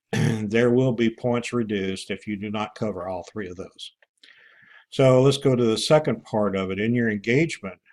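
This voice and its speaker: A man giving an informal, halting walkthrough as an online course instructor, pausing often as he explains things to students. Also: fairly low pitch. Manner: halting, with many pauses and throat clearing.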